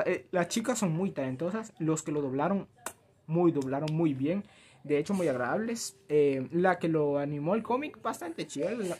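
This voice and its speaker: A young man with a Latin American Spanish accent, chatting casually and self-deprecatingly to camera as a rambling, animated YouTuber. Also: on the high side. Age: teens to early twenties. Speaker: YouTuber reacting to a fan dub. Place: small cluttered room at home.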